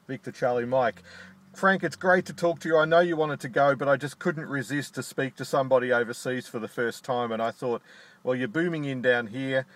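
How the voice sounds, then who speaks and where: Man chatting on ham radio. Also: mid-range voice. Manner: spells out call signs in phonetic alphabet. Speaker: amateur radio operator. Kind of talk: ham radio contact chat. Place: inside a parked car.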